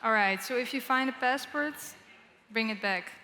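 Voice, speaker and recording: A woman moderator makes upbeat stage announcements and rallies the crowd. Her voice is high and bright. It rings with the long reverb of a big conference hall. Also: moderate pace. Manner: upbeat, rallying the audience.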